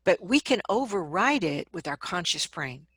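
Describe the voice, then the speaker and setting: A woman speaking as a vocal coach in an interview, explaining advice conversationally and acting out examples in an animated manner. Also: fairly low pitch, moderate pace, husky, no echo.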